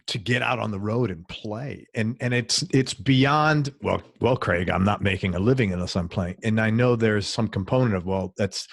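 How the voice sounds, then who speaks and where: Male host in his fifties, somewhat low voice, easygoing and slangy, dry close sound. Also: dry recording. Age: 50s. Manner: laid-back, slangy, calls people man and dude. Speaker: interview host. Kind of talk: casual interview chat.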